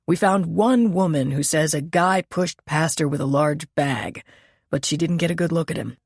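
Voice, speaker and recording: A woman voicing a calm, official older male police lieutenant. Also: fairly high pitch, normal speed, slightly rough, dry recording, studio quality. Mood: businesslike.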